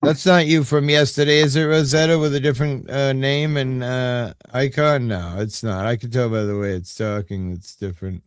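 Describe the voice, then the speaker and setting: A man's voice: mid-range voice, steady tone, moderate pace, hardly any pauses, smooth, no echo, clean studio sound. A man in his late fifties hosting a live stream, chatting casually in a rambling, easygoing way with lots of fillers. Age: 50s. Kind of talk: casual chat.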